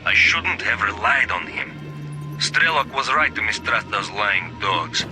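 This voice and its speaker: An actor playing a weary, bitter lone stalker in a video game, brooding and grumbling to himself. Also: male voice, fairly low pitch, animated, a little slow, over music. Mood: bitter, resigned. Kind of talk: scripted video game monologue.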